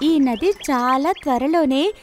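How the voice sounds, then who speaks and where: A woman's voice: high voice, animated, slow pace, slight background noise, pitched above the performer's own voice. A woman putting on worried little fish. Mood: urgent. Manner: urgent, pleading. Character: frightened little river fish, urgent.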